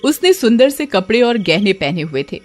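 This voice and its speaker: A woman's voice: mid-range voice, sing-song, moderate pace, faint music behind. A woman storyteller giving a dramatic scripted narration of a horror tale.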